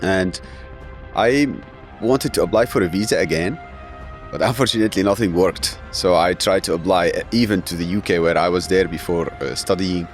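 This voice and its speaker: Male guest, 30s, very low voice, halting earnest delivery, faint music bed. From Syria. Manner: earnest, halting, with frequent fillers and restarts. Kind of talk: emotional interview.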